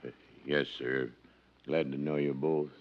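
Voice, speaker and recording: Vague, pious dockside paper seller: very deep, rambling, stubborn, for a muffled fifties radio play. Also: male voice, flat delivery. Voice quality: gravelly creaky voice. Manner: rambling, evasive, slow to answer. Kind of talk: 1950s radio drama acting. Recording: dull old radio recording.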